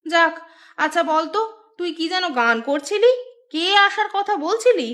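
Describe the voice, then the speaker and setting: An actress voicing a teasing, outspoken village housewife in a cartoon, with expressive, dramatic delivery. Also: female voice, extremely high pitch, normal speed. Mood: curious, chatty. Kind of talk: Bengali cartoon voice acting.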